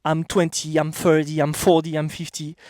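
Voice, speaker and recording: A man in his thirties with a French accent gives a casual motivational talk straight to camera. His voice sits high for a man and is recorded close and dry. He talks bluntly and emphatically. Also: monotone, moderate pace, darkish tone, no echo. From France. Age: 30s. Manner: blunt, emphatic, repeats "okay?". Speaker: vlogger. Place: outdoors.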